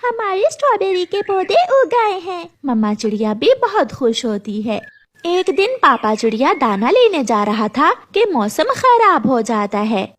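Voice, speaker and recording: Woman narrator, very high pitch, animated intonation, scripted children's storytelling.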